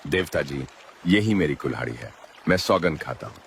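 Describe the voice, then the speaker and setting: Man acting a deep-voiced greedy schemer. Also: animated, moderate pace, slight background noise. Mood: pleading. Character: greedy scheming male villain, mocking and cowardly. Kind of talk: animated moral story voice acting.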